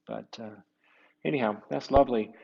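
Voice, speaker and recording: A man reading a children's picture book aloud as a priest, with musing, halting asides to his young listeners. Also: medium pitch, creaky, a little mellow, highs cut off, slight background noise. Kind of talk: storybook read-aloud with casual asides to children.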